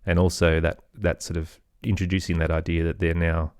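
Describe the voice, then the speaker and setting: A man's voice: sits low, flat delivery, a little fast, a little mellow, smooth, no echo, clean studio sound. A man with an Australian accent, chatting casually as an interview guest about his work. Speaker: interview guest, children's podcast creator.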